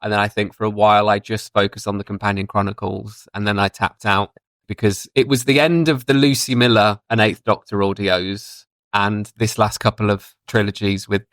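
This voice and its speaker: A man's voice: on the low side, steady tone, moderate pace, a bit bright, no room sound. A man chatting casually and reflectively as a guest in a fan discussion on a podcast.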